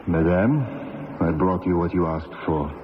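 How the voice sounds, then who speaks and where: Low-voiced, dutiful prison lieutenant. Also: male voice, little pitch movement, unhurried. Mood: gruff, patient. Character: dutiful, gruffly courteous male prison guard lieutenant. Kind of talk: 1970s radio drama acting. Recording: dull old radio recording, reverberant, faint noise.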